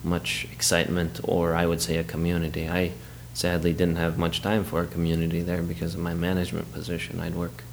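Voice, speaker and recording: A man from Turkey who grew up in Chicago, answering interview questions in a measured, matter-of-fact way. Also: low-pitched, completely flat delivery, vocal fry, slight background noise. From Turkey, raised in Chicago. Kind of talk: casual interview.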